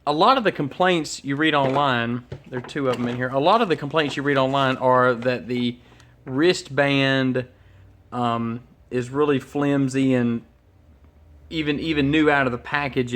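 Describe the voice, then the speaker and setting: A man's voice: mid-range voice, unhurried, a bit bright. A man giving casual, unscripted unboxing commentary as host, hesitant and thinking aloud as he goes. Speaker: host of an electronics unboxing channel. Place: indoors at a workbench.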